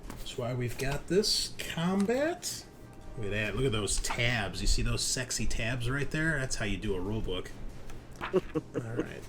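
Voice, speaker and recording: A man's voice: mid-range voice, very animated, a little slow. A man running a tabletop roleplaying game as game master, talking casually and with enthusiasm, swearing now and then. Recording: music playing behind the voice. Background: music.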